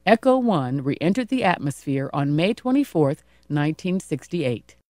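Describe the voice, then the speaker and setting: A woman's voice: sits low, big pitch swings, moderate pace, a little mellow. A woman reading scripted informational narration.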